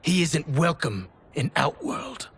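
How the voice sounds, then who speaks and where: A man playing a menacing, gravelly-voiced traitorous henchman in a fighting game cutscene, threatening and taunting. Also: a little high, huge pitch swings, slow, a little hiss or hum, heavily acted. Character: menacing traitorous male henchman of a plotting general. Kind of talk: dramatic video game cutscene acting. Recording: loud game music behind.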